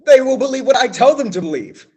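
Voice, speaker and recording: A man's voice: extremely high pitch, lively intonation, quick pace, a little mellow, slightly rough, dull audio, full character voice. A young man in a school stage play, playing a bossy, grandiose radio play writer who declaims his lines. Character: pompous scheming male radio play writer-director.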